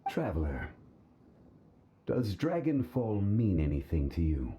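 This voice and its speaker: Deep, composed scholarly gentleman. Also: big pitch swings, heavily acted. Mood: scholarly, pensive. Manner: formal, measured, pensive. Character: composed, wise, scholarly gentleman with ancient gravity. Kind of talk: video game voice acting.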